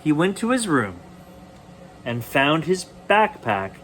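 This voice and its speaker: Man, bedtime storyteller, higher-pitched voice, very lively intonation, dramatic pauses, faint background noise. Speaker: storyteller reading a picture book aloud. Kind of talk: expressive bedtime story reading.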